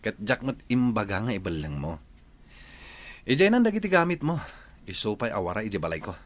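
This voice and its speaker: An actor playing a bossy, dismissive new husband with an Ilocano accent in a Filipino radio drama. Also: male voice, fairly low pitch, sing-song, brisk.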